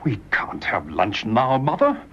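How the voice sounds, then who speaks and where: An actor playing an earnest, troubled, well-bred British son in a radio drama. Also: male voice, medium pitch, wildly swooping pitch, measured pace, a bit bright. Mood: protesting. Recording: dull, noisy radio drama recording.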